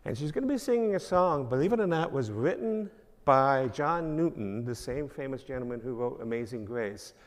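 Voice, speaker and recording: A man's voice: medium pitch, expressive, moderate pace. Older guy w/ new york accent, rambly preacher, lots of uhs, big echoey church hall. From New York, Long Island. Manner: rambling, filler-heavy, self-deprecating. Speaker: guest speaker, minister. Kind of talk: informal church address. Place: church sanctuary. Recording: long reverb of a church hall.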